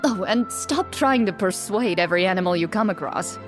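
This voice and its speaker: A woman's voice: medium pitch, lively intonation, brisk, close to an everyday voice. A woman voicing a composed, earnest young woman in a video game, formal and matter-of-fact. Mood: calm, assured. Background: soft game music.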